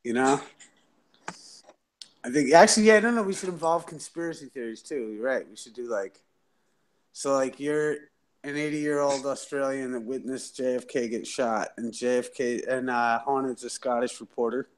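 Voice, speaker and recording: A man in a casual livestream chat, pitching joke ideas with lots of fillers. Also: moderate pace. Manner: energetic, pitching jokes, filler-heavy.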